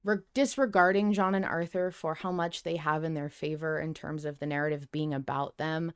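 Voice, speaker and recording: A woman with a slightly low, clean and smooth voice co-hosts a fan podcast. She talks casually but emphatically, with expressive phrasing and easy swearing. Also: moderate pace. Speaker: podcast co-host.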